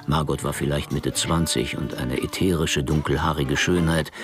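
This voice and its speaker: A man narrating a crime radio drama from a script, in a hushed, deliberate storytelling manner. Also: rumbling low, steady tone, music in the background.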